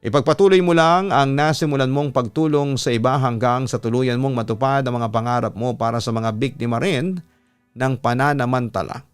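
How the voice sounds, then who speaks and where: A man's voice: medium pitch, fairly even intonation, fairly quick, smooth. Filipino man narrating over instrumental music. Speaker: radio announcer and story-reading host. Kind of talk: narrating a listener's letter, then advice to the sender. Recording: music bed under the voice.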